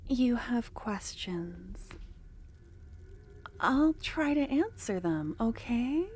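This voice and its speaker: A woman voicing an obsessive, possessive young cultist in an ASMR fantasy roleplay, speaking in a soft, breathy half-whisper that coaxes and soothes. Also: on the high side, big pitch swings, very slow, heavily acted. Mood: soothing, possessive.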